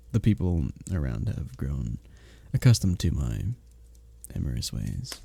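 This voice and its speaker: A very dark, velvety male innkeeper from a medieval fantasy roleplay, acted out by a man, speaking slowly and intimately. He is courtly and teasing, with flowery phrasing and a soft, breathy voice that swings expressively in pitch. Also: exaggerated voice. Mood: playful, apologetic. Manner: flirtatious, courtly, soft chuckles between phrases. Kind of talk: intimate ASMR roleplay with flowery old-fashioned speech.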